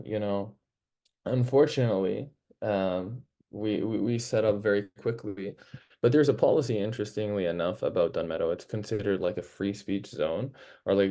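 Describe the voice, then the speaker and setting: A young man with a fairly low, soft-edged, mellow voice speaks as a student activist on an online call. He presents informally and haltingly, circling back to rephrase his points. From northern Palestine. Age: young adult.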